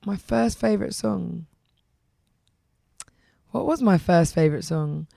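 A woman singer with a husky, rough voice, hoarse today, chatting casually in an interview and saying "like" a lot. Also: on the low side, warm tone, no room sound, studio quality. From England. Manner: chatty, repeats "like", quick restarts. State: hoarse from heavy use. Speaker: singer, guest.

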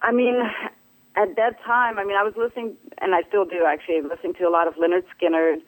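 A woman from Germany, a bass player, answering interview questions in a relaxed, hesitant way with many fillers. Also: fast talker, rough recording. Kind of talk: casual phone interview.

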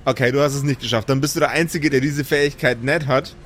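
A man's voice: medium pitch, fairly even intonation, fast, fairly bright tone, slight background noise. A young man acting as game master in an improvised audio play, narrating animatedly with frequent restarts. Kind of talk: improvised narration and table chat.

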